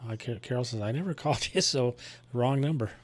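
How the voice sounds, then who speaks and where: A man chatting casually on amateur radio in a halting, grumbling way. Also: medium pitch.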